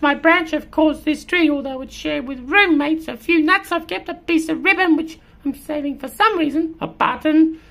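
A storybook squirrel, bossy and exasperated. The voice is extremely high and sharp, scolding and preaching with great emphasis. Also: female voice, full character voice. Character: bossy, exasperated, fast-talking squirrel lecturing a cat. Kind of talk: picture-book read-aloud.

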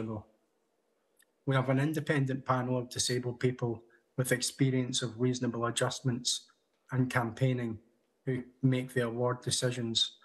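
A man in his thirties giving a prepared conference talk over a video call from a room at home, measured, with small restarts. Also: medium pitch, slightly rough, rough recording. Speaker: conference speaker. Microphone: video call webcam.

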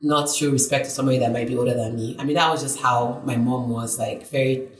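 A woman, a Yoruba speaker, telling animated stories as a guest in a casual podcast interview. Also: rumbling low, fast, echoey room. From Nigeria. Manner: animated storyteller, acts out voices in her tales.

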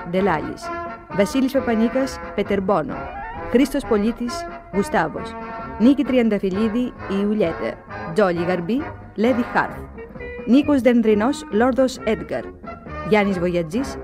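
A woman formally announcing scripted credits for a radio play. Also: a little high. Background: music.

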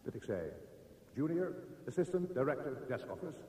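A male actor plays a brooding, self-absorbed man talking to himself in a radio drama. His voice is mellow and swings widely in pitch. It sounds distant, in a big echoing space. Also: big pitch swings, normal speed, natural voice. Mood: boastful, mocking. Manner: muttering, self-absorbed, with mocking outbursts. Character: brooding male media director muttering to himself in the dark. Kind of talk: radio play monologue. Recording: distant, echoey hall with long reverb.

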